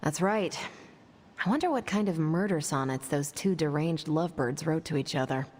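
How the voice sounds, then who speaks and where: An actress playing a cool-headed heroine in a video game cutscene, speaking in a dry, sardonic way. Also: female voice, low-pitched, animated, normal speed, darkish tone.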